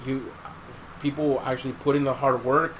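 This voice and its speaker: A man's voice: mid-range voice, fairly even intonation, brisk, fairly bright tone, a little hiss or hum, rough recording. A man hosting a podcast in casual chat, swearing freely and giving his opinions in slangy talk. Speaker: podcast host.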